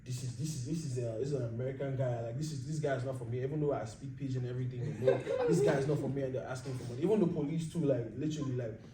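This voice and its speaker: A young man with a Nigerian accent telling stories in a casual chat, animated and laughing a lot, saying "bro" often. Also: mid-range voice, rapid-fire, few pauses, crowd noise. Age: young adult. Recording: echoey room, mic at a distance, faint background noise.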